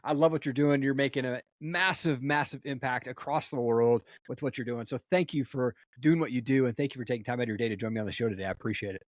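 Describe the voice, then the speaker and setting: A man's voice: mid-range voice, fast, few pauses, no echo. A man hosting a podcast interview, encouraging and friendly with his guest. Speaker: podcast host. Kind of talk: casual interview.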